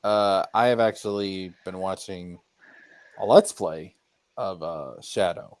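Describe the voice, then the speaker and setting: A man in casual chat, talking haltingly and repeating words for emphasis. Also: sits low, no echo.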